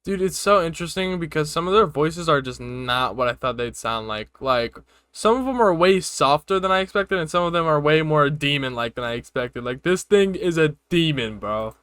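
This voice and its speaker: A man's voice: on the high side, expressive, normal speed, a bit bright, smooth, no echo. A man hosting a reaction video, giving casual, slangy commentary. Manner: slangy, informal.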